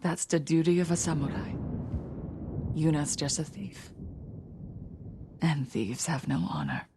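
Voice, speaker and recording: A woman's voice: deep voice, animated, a little slow, background noise. An actress playing a bitter, scornful woman in a dramatic video game, with a cutting, accusing delivery.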